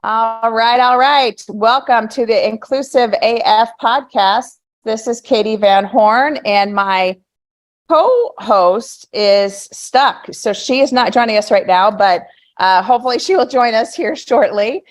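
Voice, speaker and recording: A woman's voice: a little high, unhurried. Woman podcast host, friendly and casual, fillers and restarts. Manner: friendly, filler-heavy, repeats words. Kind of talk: casual interview hosting.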